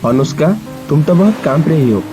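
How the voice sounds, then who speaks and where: A man as a caring, higher-voiced young office boss. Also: expressive, normal speed, close to an everyday voice. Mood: caring, reassuring. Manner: gently insistent, reassuring. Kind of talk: animated storybook dialogue. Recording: music and rain behind the voice. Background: music, rain.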